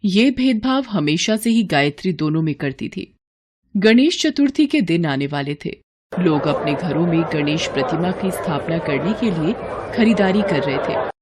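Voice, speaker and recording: A woman's voice: lowish voice, animated, a little slow, a little mellow, some chatter behind, slight background noise. A woman storyteller reading scripted narration for a devotional moral story.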